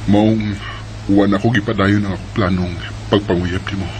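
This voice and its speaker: A man's voice: lowish voice, fairly even intonation, moderate pace, darkish tone, slight background noise, close to an everyday voice, low-quality recording. A man acting an earnest young suitor and friend in a radio drama, with a Filipino Bisaya accent. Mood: apologetic, earnest. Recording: radio drama with prominent music bed.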